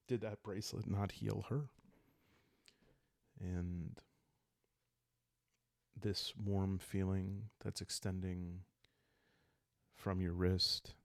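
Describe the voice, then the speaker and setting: A man narrating a solo roleplaying story in a calm, hushed ASMR style, halting with deliberate pauses, close to the microphone. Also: sits low, gravelly, no echo. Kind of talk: calm ASMR narration of a dark journaling game.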